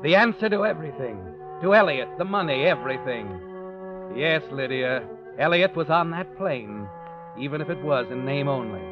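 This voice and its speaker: A man's voice: fairly high pitch, expressive, moderate pace, natural voice. A man acting as a knowing, sinister narrator in 1940s radio suspense style, addressing the listener insinuatingly as you. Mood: knowing, ominous. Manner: insinuating second-person address. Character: knowing, sinister male narrator voicing a schemer's conscience. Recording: dull old radio recording with music.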